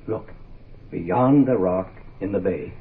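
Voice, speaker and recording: A decisive, no-nonsense investigator's ally in an old radio play. He is curt and matter-of-fact, with a lowish, very rough husky voice on a tinny recording. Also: slow, full character voice. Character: grim, decisive male detective's associate, Gray. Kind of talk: 1960s radio drama acting.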